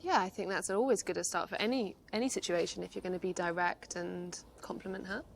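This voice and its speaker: A woman's voice: lowish voice, fairly even intonation, fairly quick, vocal fry. Woman chatting about dating tips, agreeable, slight noise behind. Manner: agreeable, conversational commentary. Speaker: dating expert.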